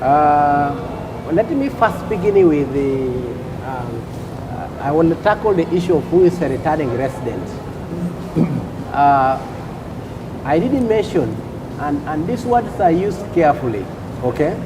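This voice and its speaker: High-voiced man explaining customs rules. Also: expressive, background noise. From Uganda. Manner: deliberate, repeats points, checks with "okay?". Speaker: customs official guest.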